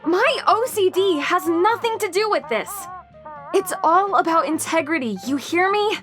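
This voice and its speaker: A high-strung woman with a very high voice. She is intense, argumentative and exacting, a perfectionist in an audio dramedy. Light music sits behind her. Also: animated, a little slow, fairly bright tone, exaggerated voice. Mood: angry, indignant. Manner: intense, demanding, indignant.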